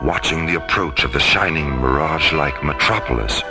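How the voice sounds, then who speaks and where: Very deep dramatic male narrator. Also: over music. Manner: grand, measured storytelling. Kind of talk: dramatic scripted sci-fi radio narration.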